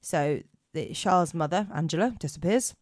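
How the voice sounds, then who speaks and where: A woman with a hoarse voice co-hosting a podcast, chatting casually with many fillers. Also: deep voice, expressive, moderate pace, a little mellow, dry recording, studio quality. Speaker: podcast co-host. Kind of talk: casual chat.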